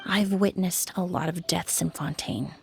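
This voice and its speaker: A woman voicing a composed adult perfumer and crime-scene cleaner in a game, speaking in a measured, thoughtful way. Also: on the low side, vocal fry, soft background music. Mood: calm, reflective. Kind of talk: game voice acting.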